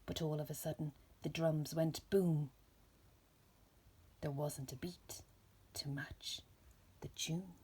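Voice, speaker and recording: A woman with a husky, breathy voice reading a rhyming children's story aloud in slow, deliberate phrases. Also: deep voice, steady tone, mellow, slight background noise. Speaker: storybook reader.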